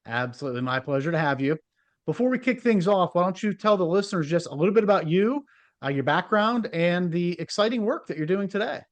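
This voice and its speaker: A man's voice: high voice, animated, fairly quick. A man hosting a communication-skills podcast, introducing his guest and asking questions in an upbeat, polished way. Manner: upbeat, polished presenter delivery. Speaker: podcast host. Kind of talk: podcast interview.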